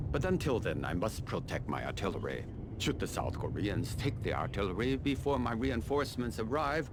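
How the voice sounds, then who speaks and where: An actor playing a cold, calculating colonel in a video game, speaking in a measured, formal, condescending way. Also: male voice, low-pitched, background noise. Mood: curt, grave. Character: cold, calculating middle-aged Chinese army colonel, male. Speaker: military commander giving mission briefings. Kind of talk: video game mission briefing dialogue.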